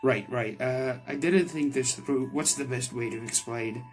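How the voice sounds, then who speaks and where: Man as young male game magician, hesitant, rambling, kind-hearted, middling pitch, light music bed. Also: measured pace, natural voice. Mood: flustered. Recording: background music under the voice.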